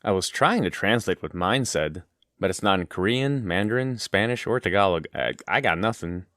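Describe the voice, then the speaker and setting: A man's voice: fairly low pitch, lively intonation, normal speed, a bit bright, no echo, studio quality. A man playing a curious, practical teenage boy in an audio drama, with a questioning way of talking. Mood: puzzled.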